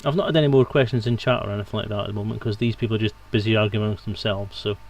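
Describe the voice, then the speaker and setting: A man's voice: on the low side, fairly even intonation, racing, a little mellow. A man hosting a casual livestream interview in a dry, understated manner. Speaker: stream host.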